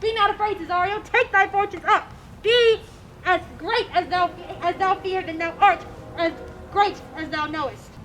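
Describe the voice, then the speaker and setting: A lovestruck, insistent countess, acted by a woman in amateur Shakespeare. Her voice is extremely high, with declamatory, pleading delivery. Clear noise sits behind the voice. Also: moderate pace, a bit bright. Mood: imploring. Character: proud, insistent young countess in love. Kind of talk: amateur Shakespeare stage acting. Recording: noisy outdoor recording.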